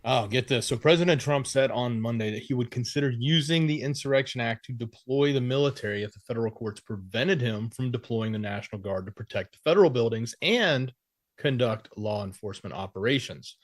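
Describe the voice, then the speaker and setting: A man's voice: medium pitch, fairly quick, dry recording. A man co-hosting a news show, reporting and analyzing in an informal, explanatory, conversational way.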